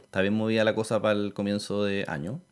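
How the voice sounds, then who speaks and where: A man's voice: lowish voice, fast, clean tone. A man with a Chilean accent hosts a conversational interview. His delivery is easygoing and informal, full of colloquial local expressions. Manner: relaxed, colloquial, uses local slang. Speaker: interview host.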